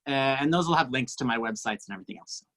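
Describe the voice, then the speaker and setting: A man's voice: mid-range voice, expressive, rapid-fire, fairly bright tone. A man talking casually and plainly on a video call.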